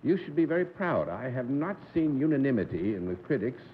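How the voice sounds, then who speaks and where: A man's voice: mid-range voice, sing-song, a little fast. A middle-aged man from New England, hosting a live panel game show and reminiscing genially with a guest. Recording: dull slightly noisy 1960s TV broadcast.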